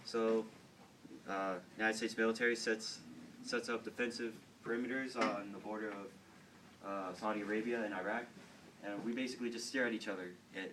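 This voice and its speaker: A teenage boy with a fairly low voice rambles through an informal story, repeating words and leaning on fillers. He is recorded from some distance, with faint background noise. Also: fairly even intonation, normal speed, a bit bright. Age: teens. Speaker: student storyteller. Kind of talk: casual informal talk to classmates.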